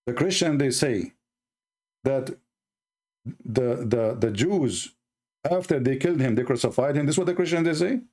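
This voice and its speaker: A man's voice: medium pitch, lively intonation, normal speed, long pauses, a little mellow. Mocking man with an Arabic accent. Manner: mocking, scornful, challenging listeners; non-native broken grammar, repetitive. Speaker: religious commentator. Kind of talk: combative online commentary.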